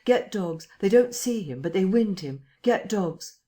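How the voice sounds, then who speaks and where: A woman's voice: mid-range voice, animated, measured pace, warm tone, voice pushed up. A woman reader voicing an agitated man who urgently presses the police to act, speaking in breathless commands. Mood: insistent.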